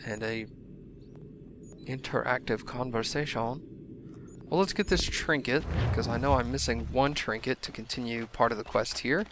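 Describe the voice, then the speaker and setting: A young man commenting casually on a video game he is playing, his voice a little hoarse from a cold, rambling with self-deprecating asides. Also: medium pitch, moderate pace, background noise. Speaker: let's play gamer.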